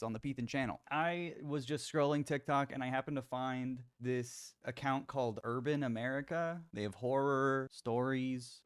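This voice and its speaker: A young man reacting to horror clips in comedic commentary, animated and incredulous, often laughing and swearing. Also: mid-range voice, no echo, clean studio sound.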